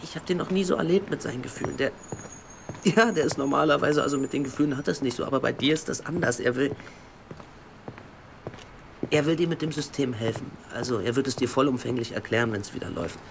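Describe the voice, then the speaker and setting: An actor playing a worried, cautious investigator in an audio drama, speaking frankly and haltingly. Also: male voice, mid-range voice, natural voice. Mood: earnest pleading. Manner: halting, earnest. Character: worried, cautious male investigative team member, frank and urgent. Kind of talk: naturalistic German audio drama acting. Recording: clearly noisy background.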